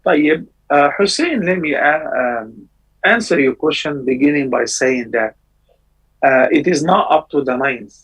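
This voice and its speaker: A man hosting a live call-in show, answering callers' religious questions in measured explanations. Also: slightly rough, poor audio. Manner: measured explanations with sudden lively rises in pitch. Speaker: scholar answering viewers' calls.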